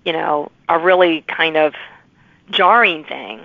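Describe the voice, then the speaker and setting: A woman's voice: low-pitched, slow pace, vocal fry, dry recording, phone-quality sound. A woman hosting a podcast, talking in a casual, chatty, informal way with emphatic asides. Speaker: podcast host. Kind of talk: casual interview chat.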